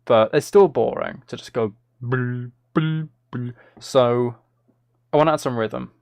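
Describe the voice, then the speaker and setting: A man's voice: mid-range voice, measured pace, darkish tone, no room sound. A man chatting casually on a livestream, thinking aloud in a self-deprecating way as he makes music. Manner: self-deprecating, hums and sings out rhythms. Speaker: live streamer making music.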